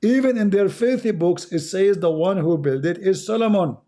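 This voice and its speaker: A man's voice: sits high, moderate pace, mellow. Emphatic Arabic-accented man preaching. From Middle East. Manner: emphatic, preachy, repeats for effect. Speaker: livestream host. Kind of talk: impassioned religious monologue.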